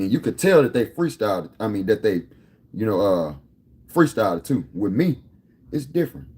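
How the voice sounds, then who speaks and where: A man's voice: lowish voice, dark tone, slightly rough. A man in his thirties talking casually to camera, boastful and rambling, full of street slang. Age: 30s. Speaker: vlogger.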